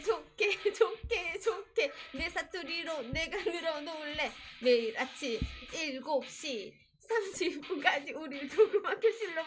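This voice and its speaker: A woman with a very high voice sings live on her stream, unhurried, with a loud backing track behind her. She moves between ballads and rap and drops in short spoken asides. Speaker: singer and streamer. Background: loud backing music.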